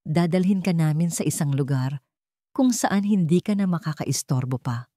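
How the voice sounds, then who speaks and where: A woman's voice: low-pitched, moderate pace, warm tone, dry recording, studio quality, natural voice. A woman with a Filipino accent voicing a cold, threatening male villain in an audio story drama. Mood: menacing.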